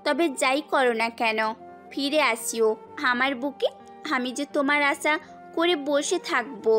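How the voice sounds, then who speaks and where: A woman's voice: high-pitched, a little slow, a bit bright. A woman playing a devoted, anxious young fiancée in a romantic audio drama, tender and questioning. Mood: tender, pleading. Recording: music bed under the voice.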